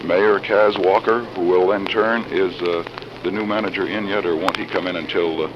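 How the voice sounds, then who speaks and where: A man reporting live on 1940s radio in a formal, measured announcing manner, with a cold. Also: sits low, steady tone. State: has a cold. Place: council chamber. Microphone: broadcast microphone. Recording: noisy, muffled 1940s radio broadcast recording.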